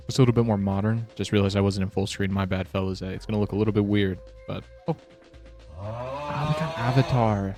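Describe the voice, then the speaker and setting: Excitable man with a slightly sick voice. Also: lowish voice. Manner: excitable, slangy, calls viewers fellas and bro. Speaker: gaming channel host. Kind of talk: casual gameplay commentary.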